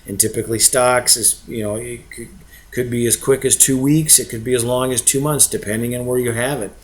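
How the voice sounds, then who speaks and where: A man's voice: medium pitch, fairly even intonation, a little fast. A man giving an informal explainer as an investment presenter, conversational and often checking in with short rhetorical questions. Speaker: real estate investment presenter.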